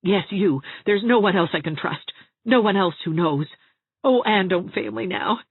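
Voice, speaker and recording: A woman's voice: medium pitch, normal speed, slightly rough, no room sound, voice pushed up. A woman voicing a desperate young man in love in an audiobook, pleading and coaxing earnestly. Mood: urgent, pleading. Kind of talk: audiobook character voice. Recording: thin phone-like narrow-band sound.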